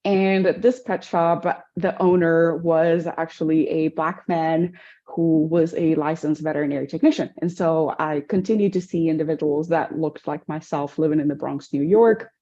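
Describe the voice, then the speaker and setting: A woman's voice: low-pitched, steady tone, moderate pace, warm tone, muffled recording. A woman from the Dominican Republic, a veterinarian guest on a podcast, speaking reflectively and laughing easily. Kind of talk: interview.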